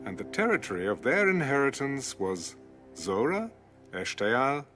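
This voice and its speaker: A man reading Bible scripture as a scripted audiobook narration, measured and deliberate. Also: medium pitch, big pitch swings, fairly bright tone, faint music behind.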